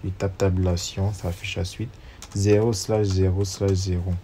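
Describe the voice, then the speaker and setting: A man's voice: sits low, level tone, normal speed, mellow, a little hiss or hum. A man with a cold narrating a step-by-step screen tutorial, reading each command and number aloud as he types. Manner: steps through commands aloud, spelling out numbers. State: has a cold. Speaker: networking instructor. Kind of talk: screen-recorded tutorial walkthrough.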